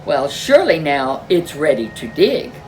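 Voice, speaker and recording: A woman's voice: sits low, big pitch swings, normal speed, darkish tone, soft background music, pitched below the performer's own voice, poor audio. A woman voicing a boastful, lazy farmer in a storybook folk tale. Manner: proud, bragging.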